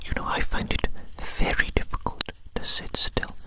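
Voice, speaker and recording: A man whispering without voice in a gentle, soothing ASMR roleplay, softly repeating words and phrases. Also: moderate pace. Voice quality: unvoiced whisper.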